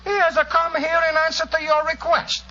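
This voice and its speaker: An actor playing a courtly, effusive Italian police inspector with formal, hesitant phrasing, in 1940s radio drama style. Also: male voice, very high-pitched, completely flat delivery, brisk, sharp tone. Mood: flustered, polite. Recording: dull, hissy old radio recording.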